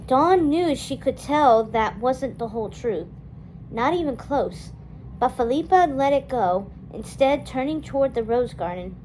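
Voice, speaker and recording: Female storyteller for children's fiction read-aloud, fairly high voice, some background noise. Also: expressive, a little slow, darkish tone, poor audio.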